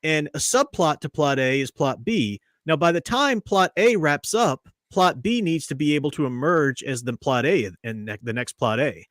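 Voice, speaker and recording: A man hosting a casual review discussion, explaining in an animated way with some fillers. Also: a little high, normal speed, hardly any pauses, dry recording, clean studio sound. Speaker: podcast host.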